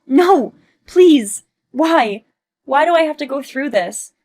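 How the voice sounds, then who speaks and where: A woman voicing a greedy, entitled mom who whines and pleads loudly when caught out. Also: high voice, lively intonation, normal speed, voice pushed up. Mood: whiny.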